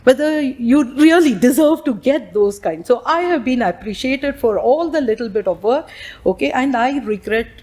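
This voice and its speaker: A woman, giving a loud, halting talk about her working life to students. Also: high-pitched, expressive. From India. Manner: loud, many restarts and fillers. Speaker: guest speaker.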